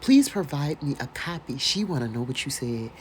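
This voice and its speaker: A woman's voice: rumbling low, animated, moderate pace, a little hiss or hum. An American woman doing a dramatic read-aloud with casual, mocking asides and exclamations, in a theatrical manner. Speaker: host doing a dramatic reading.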